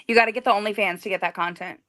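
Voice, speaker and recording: A woman's voice: mid-range voice, fairly even intonation, very fast, fairly bright tone. A woman co-hosting a casual live stream, playful and teasing.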